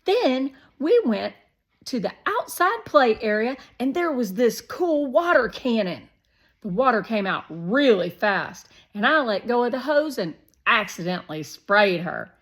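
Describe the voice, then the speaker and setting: A woman voicing a loud, pushy, energetic young boy in a children's storybook, blurting things out eagerly. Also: a little high, measured pace, voice pushed up, heavily acted. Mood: eager chatter. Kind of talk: children's storybook read-aloud.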